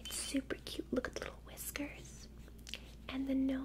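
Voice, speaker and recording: A young woman in an ASMR roleplay as a childcare worker, talking gently and simply to a small child with lots of questions. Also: high-pitched, completely flat delivery, normal speed. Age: young adult. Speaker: ASMR creator playing a preschool childcare worker.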